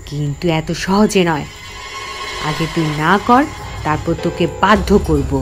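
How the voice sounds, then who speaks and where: A woman playing a cunning, defiant young heroine who tells her horror story in an emphatic, theatrical way. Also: on the low side. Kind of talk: dramatic first-person horror story narration.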